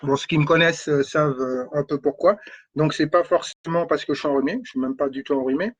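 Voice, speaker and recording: A man's voice: fairly high pitch, fairly even intonation, fast. A man coaching on energy and spirituality, thinking aloud with lots of repetition and checking "okay?", talking with a runny nose. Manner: repeats words, thinks aloud, stresses points with "d'accord?". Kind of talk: spoken talk on spirituality. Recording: dull lo-fi recording.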